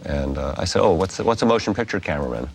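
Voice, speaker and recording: A man's voice: sits low, animated, fast, gravelly, slight background noise. A male cinematographer in an interview telling how he discovered the craft. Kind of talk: interview storytelling.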